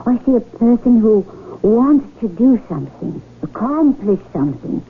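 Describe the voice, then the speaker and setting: An actress in a 1970s radio drama playing a wistful, soft-hearted young casino dealer who poses as a princess. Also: female voice, a little high, sing-song, normal speed, gravelly, husky, exaggerated voice. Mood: gentle, poised. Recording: muffled, hissy 1970s radio broadcast recording.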